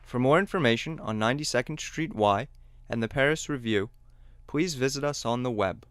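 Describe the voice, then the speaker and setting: A man giving a scripted closing announcement in an even, formal read. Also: mid-range voice, normal speed, clear, resonant tone, dry recording.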